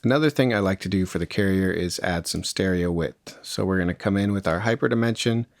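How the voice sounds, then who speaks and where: Low-voiced man giving a casual software tutorial. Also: fairly quick, dry recording, clean studio sound. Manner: informal, conversational instruction. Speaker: music production tutorial presenter.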